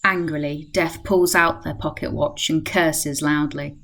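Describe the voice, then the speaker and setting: A woman's voice: low voice, steady tone, measured pace, gravelly, a bit bright, heavily acted. A woman playing the composed narrator of a radio play, reading scripted present-tense storytelling. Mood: measured.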